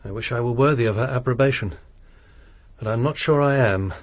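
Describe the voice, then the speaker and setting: A man's voice: medium pitch, brisk, no echo, close to an everyday voice. An actor playing a troubled, sermonizing male lodger with a formal manner in a radio drama. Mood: brooding. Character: sinister pious male lodger, troubled and brooding.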